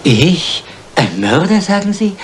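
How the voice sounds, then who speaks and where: An actor playing a timid, loyal older gentleman, a detective's courteous and easily flustered sidekick in a German crime drama. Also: on the high side, sing-song, slow pace, a little hiss or hum. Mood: alarmed.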